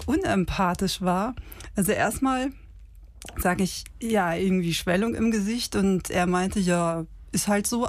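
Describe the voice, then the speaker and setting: A woman's voice: lowish voice, no room sound. Fifty-something woman, dry close podcast voice. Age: 50s. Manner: animated retelling with many fillers. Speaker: podcast co-host. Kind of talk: casual chat, storytelling.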